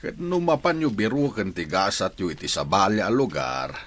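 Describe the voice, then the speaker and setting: A man's voice: fairly low pitch, expressive, moderate pace, fairly bright tone. A man with an Ilocano accent acting a sombre, sympathetic villager in a radio drama. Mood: heavy-hearted.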